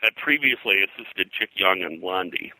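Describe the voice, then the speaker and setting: A man being interviewed as a historian and publisher, explaining history in a halting, detail-heavy, conversational way. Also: sits low, animated, bright, forceful tone, no room sound, low-quality recording.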